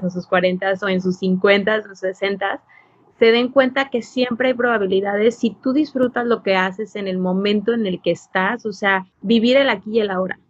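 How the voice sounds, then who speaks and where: A woman's voice: medium pitch, no room sound. Mexican woman host, dry muffled sound. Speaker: podcast host. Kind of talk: casual reflective talk.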